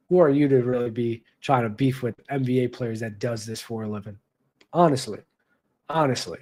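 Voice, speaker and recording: A man's voice: medium pitch, a little mellow. A young adult man hosting a basketball talk show, giving blunt casual opinion commentary with slang and lots of repetition for emphasis.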